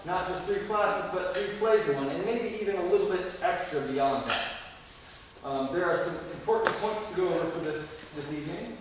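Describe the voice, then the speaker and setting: A man who teaches, giving a halting, informal live welcome speech before a school play. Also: high voice. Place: large echoing indoor performance space. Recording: very echoey hall from far off, faint noise.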